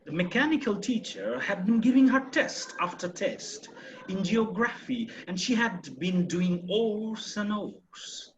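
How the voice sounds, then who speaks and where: Man, high voice, halting, muffled call with faint hiss. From Bangladesh. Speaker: online English tutor. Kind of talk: reading narration.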